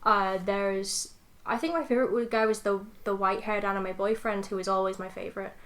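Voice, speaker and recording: A woman's voice: mid-range voice, fairly even intonation, brisk, fairly bright tone. Informal, hesitant young woman telling stories. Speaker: podcast co-host. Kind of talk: casual podcast chat.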